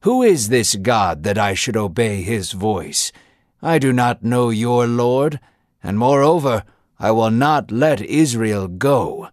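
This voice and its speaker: A man's voice: medium pitch, animated, takes it slowly, a little mellow, no echo, studio quality. A man playing a scornful Pharaoh. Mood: cold. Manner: cold, dismissive. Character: haughty, scornful male Egyptian king. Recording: music clearly underneath.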